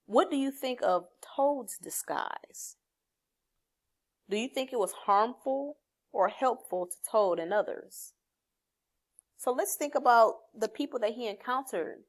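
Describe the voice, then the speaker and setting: A woman teaching a read-aloud lesson to children, explaining simply and encouragingly, posing questions and then answering them herself. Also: mid-range voice. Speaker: elementary reading teacher.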